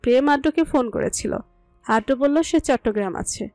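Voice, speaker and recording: Woman, high-pitched, scripted romantic narration, dry recording, soft music behind. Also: moderate pace, no room sound. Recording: music under the voice.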